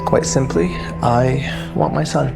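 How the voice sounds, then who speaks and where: An actor playing a proud young Spanish crown prince in an audio drama, speaking in a smug, measured, self-assured way. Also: male voice, medium pitch, steady tone, vocal fry. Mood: earnest, firm. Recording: music behind the voice.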